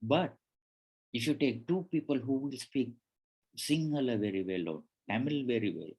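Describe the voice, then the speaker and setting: Husky-voiced man giving a talk. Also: mid-range voice, animated, normal speed, a little mellow. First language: not English. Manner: conversational, restarts often, acts out quoted dialogue. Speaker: presenter. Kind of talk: presentation on job interviews.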